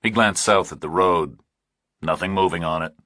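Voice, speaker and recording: Very low-voiced male thriller narrator, slightly husky. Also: moderate pace, bright, forceful tone, no echo, clean studio sound. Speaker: audiobook narrator.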